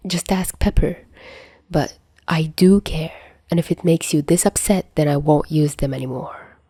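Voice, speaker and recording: A woman voicing a remorseful, protective male superhero mentor in a fan audio drama, softly and tenderly, with halting reassurance. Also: deep voice, slightly rough, no echo. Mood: tender, awkward. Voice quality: soft.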